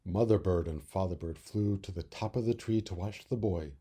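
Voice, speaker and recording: A man reading a children's story expressively, with deliberate, dramatic phrasing. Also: low-pitched, warm tone, breathy edge. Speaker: storybook reader.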